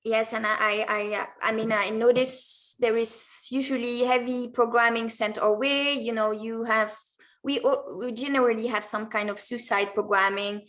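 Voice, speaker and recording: A woman from Belgium, a guest in an interview, speaking hesitantly with many pauses and restarts. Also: fairly high pitch, fairly even intonation, a bit bright. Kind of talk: interview answers.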